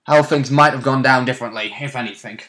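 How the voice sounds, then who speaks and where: A man's voice: medium pitch, fast, pushed and bright, a little hiss or hum. A man chatting casually about role-playing as a player and co-host.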